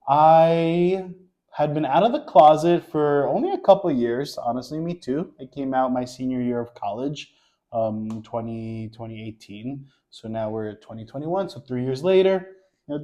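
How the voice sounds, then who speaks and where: New Yorker co-host, relaxed storyteller, dark warm tone. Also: male voice, a little high. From Queens, New York. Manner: easygoing storyteller, guides the conversation. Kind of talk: casual couple chat.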